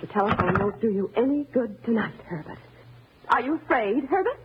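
Radio thriller role for an actress as a mousy wife turned killer, lightly high voice, bitter and menacing, on a thin, hissy old recording. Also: female voice, lively intonation, a little fast, natural voice. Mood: calm menace. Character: timid, resentful wife calmly turned murderous. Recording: noisy, narrow-band old radio recording.

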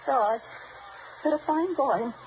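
A woman's voice: sits high, expressive, takes it slowly, slight background noise. A woman playing a frail, nervous old woman in a wheelchair in an old-time radio drama, stammering and pleading. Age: older. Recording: dull lo-fi radio recording.

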